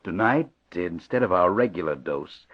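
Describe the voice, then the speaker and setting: An actor playing a coolly smug, corrupt doctor in an old-time radio drama, speaking in a sardonic, deliberate way. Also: male voice, low-pitched, lively intonation. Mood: coolly scheming. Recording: muffled old radio recording.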